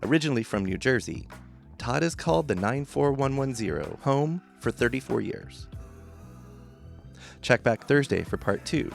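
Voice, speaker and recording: A male podcast host with a gravelly, fry-edged voice that swings up and down a lot as he talks. He jokes easily with his guest and reads his show intro in a relaxed way. His pitch sits in the middle for a man. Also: soft background music. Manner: chatty, quick to laugh and joke with the guest. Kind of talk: conversational interview and scripted show intro.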